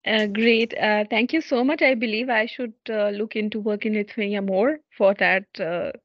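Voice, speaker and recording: A woman's voice: mid-range voice, steady tone, normal speed, dull audio. A woman student asking a hesitant question on an online seminar call. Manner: hesitant, many fillers and restarts. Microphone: video call.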